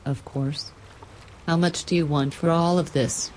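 A woman's voice: low voice, steady tone, unhurried, darkish tone, slight background noise. A woman voicing a bossy, arrogant red-headed female ship captain who speaks curtly. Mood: commanding. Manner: commanding, curt.

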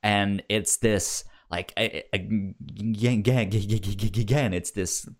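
A man with a low-pitched voice talks slowly in a casual film chat. He stammers often, doubling back on words and syllables as he works through his thoughts. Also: fairly even intonation. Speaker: film podcast co-host.

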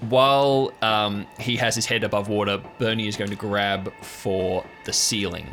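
A man's voice: lowish voice, fairly even intonation, moderate pace, fairly bright tone. A man narrating an improvised tabletop audio drama as game master. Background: music.

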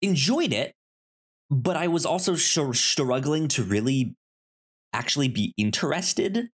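A podcast co-host talks casually about films with an animated, wide-ranging pitch. The delivery is halting, and sounds and word beginnings often get repeated before the sentence moves on. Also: breathy edge. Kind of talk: casual movie discussion.

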